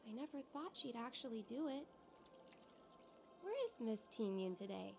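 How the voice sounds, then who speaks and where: A woman's voice: a little high, lively intonation, moderate pace, gravelly, darkish tone, faint music behind. A woman voicing an earnest, cheerful young female tea house owner in a video game, polite and heartfelt.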